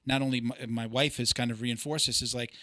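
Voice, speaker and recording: A man's voice: medium pitch, steady tone, quick pace, bright, forceful tone, no room sound, clean studio sound. A man hosting a podcast, chatting earnestly in a casual interview and sharing his own stories. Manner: earnest, chatty, hesitant with fillers. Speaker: podcast host.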